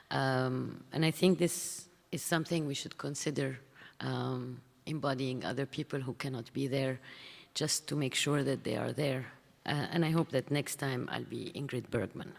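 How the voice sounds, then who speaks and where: A woman reading a formal written talk aloud in a measured, deliberate, literary manner, with a gravelly voice. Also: a bass voice. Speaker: speaker reading another writer's paper. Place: conference hall, public event. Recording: echoey hall with long reverb.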